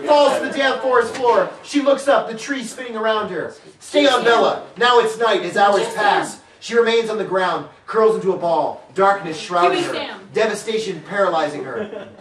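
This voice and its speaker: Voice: medium pitch, normal speed, a crowd in the background. A narrator reading stage directions in a hammy, mock-dramatic way, with comic asides. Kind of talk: theatrical comedic script narration with asides. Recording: distant mic, echoey room, loud audience.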